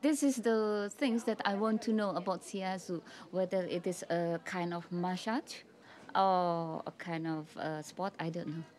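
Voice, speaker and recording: A woman speaking slowly and carefully in an outdoor interview, laughing easily. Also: on the low side, a little mellow. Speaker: camp participant.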